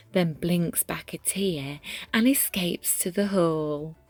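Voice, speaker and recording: A woman reading a rhyming children's story aloud in an expressive, measured way, with dramatic pauses and hushed moments. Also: medium pitch, creaky, no room sound. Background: background music.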